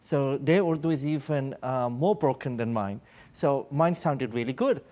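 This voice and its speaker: A man's voice: mid-range voice, normal speed, dark tone. A Pakistani-born American man speaking as an aid worker at a public panel, telling personal anecdotes in an earnest, emphatic way.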